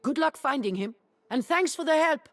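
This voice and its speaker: A woman's voice: high-pitched, expressive, normal speed, dry recording. A woman playing an eager, curious scholar rescued from captivity in a video game, with an earnest delivery. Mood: grateful, curious. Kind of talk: video game dialogue acting.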